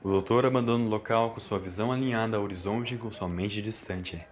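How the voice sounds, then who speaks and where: A man's voice: on the low side, steady tone, quick pace, a little hiss or hum. A man with a Brazilian accent, narrating a scripted story in a dramatic style. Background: music.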